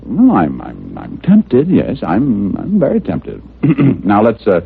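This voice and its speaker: An actor playing a breezy, flippant private detective in old radio drama style, teasing and sarcastic. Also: male voice, on the low side, wildly swooping pitch, slow pace, vocal fry, a little hiss or hum, exaggerated voice. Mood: hesitant, intrigued. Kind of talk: 1940s-50s radio detective drama acting. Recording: tinny, narrow old radio recording.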